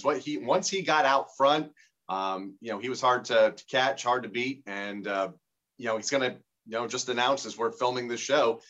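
A man from Connecticut talks racing in an easygoing, measured way, full of fillers. His audio comes through a slightly dull, muffled remote line. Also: mid-range voice, a bit bright. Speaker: co-host, track promoter. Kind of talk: casual sports talk.

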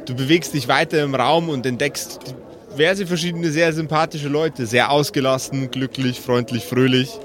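A man's voice: medium pitch, fairly even intonation, normal speed, a bit bright, a faint crowd. A man narrating an improvised tabletop game as game master, often repeating words as he feels his way forward.

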